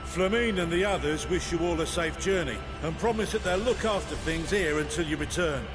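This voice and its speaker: An actor playing an earnest, courteous young adventurer in a game cutscene. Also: male voice, on the high side, brisk, lightly acted. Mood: reassuring. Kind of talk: video game cutscene acting. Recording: music behind the voice.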